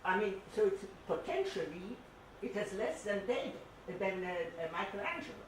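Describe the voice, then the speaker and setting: A woman's voice: medium pitch, sing-song, moderate pace, distant mic. Older woman in an echoey, noisy hall. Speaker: audience member commenting on art. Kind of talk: unscripted academic comment.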